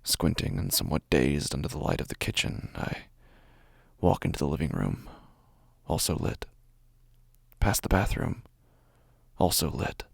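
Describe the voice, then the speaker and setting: A man playing a dazed, fearful narrator of a horror audio drama, speaking in halting phrases with a low, gravelly, breathy voice that often nears a whisper. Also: big pitch swings, dry recording, clean studio sound, full character voice. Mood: dazed, uneasy. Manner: slow, halting, broken phrases with heavy pauses. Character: dazed, fearful man narrating his own waking nightmare. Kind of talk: first-person horror audio fiction narration.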